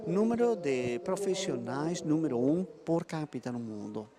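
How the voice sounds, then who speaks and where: A man's voice: on the high side, expressive. Israeli man presenting to a crowd. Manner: halting, repeats words while searching for them. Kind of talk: conference presentation.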